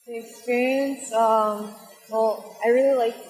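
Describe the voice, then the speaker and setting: A woman's voice: a little high, fairly even intonation, takes it slowly, darkish tone, big-room sound, rough recording. A woman answering casually as an interview guest from a stage cast, leaning often on "you know" and "like".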